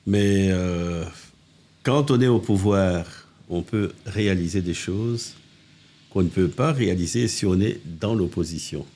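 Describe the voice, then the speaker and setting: A husky, fairly low-voiced older man speaks. He is a mayor telling animated stories as a podcast guest, circling back and restarting his sentences as he goes. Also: unhurried. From Congo. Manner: animated anecdotes with restarts and asides. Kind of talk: casual interview storytelling. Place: living-room podcast set.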